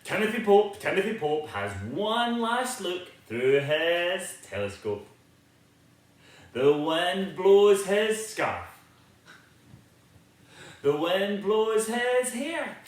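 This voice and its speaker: A man's voice: high voice, very animated, drawn-out words, pauses often. A man reading a children's picture book aloud in a theatrical, sing-song, inviting way. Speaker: storybook reader. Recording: very echoey, reverberant room.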